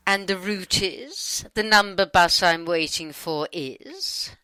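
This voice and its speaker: A woman with a British accent reads conversationally, wry and chatty. Her voice is bright and crisp-edged, swinging expressively up and down. The recording is dry, with no room sound. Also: fairly low pitch, lively intonation, slow, dry recording, poor audio. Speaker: channeller reading her side of a dialogue. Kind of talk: conversational scripted reading.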